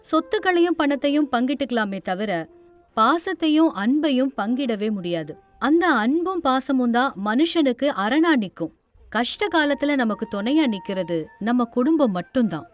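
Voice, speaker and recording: Casting: woman narrator for moral stories, high-set voice, scripted storytelling over a light music bed. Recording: music under the voice.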